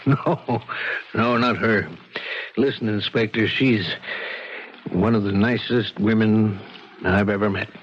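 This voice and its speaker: Police lieutenant, husky, deliberate, hesitant, polite, muffled 1970s radio drama. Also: male voice, on the low side, steady tone. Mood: protective. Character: earnest, apologetic male police lieutenant questioning suspects. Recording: dull 1970s radio broadcast.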